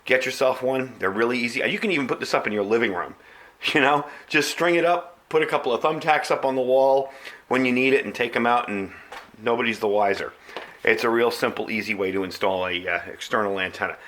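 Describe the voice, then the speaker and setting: A man's voice: mid-range voice, a little fast, fairly bright tone. A middle-aged man with an American accent giving a casual, conversational product review, explaining things as he demonstrates them.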